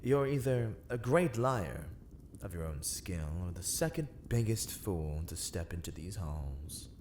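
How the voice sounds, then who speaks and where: A man playing a haughty, menacing vampire lord in a dark ASMR roleplay, speaking in formal, archaic, deliberate phrases. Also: low-pitched, expressive, a little hiss or hum. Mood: scornful.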